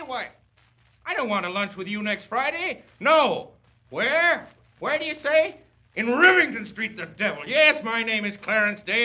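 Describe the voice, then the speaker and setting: A man's voice: very high-pitched, bright, forceful tone, full character voice. An actor playing a domineering, exasperated father in a blustery, emphatic 1930s radio comedy style. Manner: blustery, sputtering, emphatic, old-fashioned mild cursing. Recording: muffled vintage 1930s radio recording.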